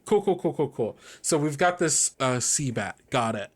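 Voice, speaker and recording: A man's voice: mid-range voice, expressive, normal speed. A man acting as game master, mixing improvised narration with informal table talk and talking the rules through as he goes.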